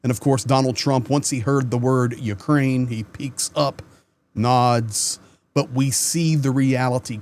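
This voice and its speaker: A man's voice: medium pitch, a little mellow. A man hosting his own channel, giving casual news commentary in an earnest, conversational way and repeating words as he starts a sentence. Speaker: channel host.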